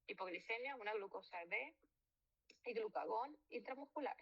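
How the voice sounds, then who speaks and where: Woman doctor from venezuela teaching a med class, gravelly voice, kinda muffled lo-fi sound, lots of eh's and fixing herself. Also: a little high, expressive, moderate pace. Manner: informal, with fillers and self-corrections. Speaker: physician lecturer. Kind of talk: medical lecture.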